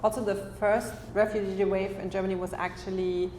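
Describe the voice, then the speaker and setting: A woman moderating a panel talk, asking measured questions and summing up what was said. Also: mid-range voice, little pitch movement, a little mellow, a little hiss or hum. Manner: measured, asks questions, sums up. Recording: echoey hall, faint ambience.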